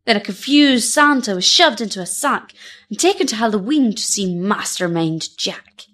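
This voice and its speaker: A woman narrating a storybook poem in an expressive, measured, dramatic way. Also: mid-range voice.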